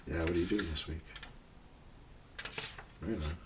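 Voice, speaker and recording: A man doing a casual ASMR roleplay, murmuring and rambling in a low-key, unhurried way, sometimes near a whisper. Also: far from the mic, background noise.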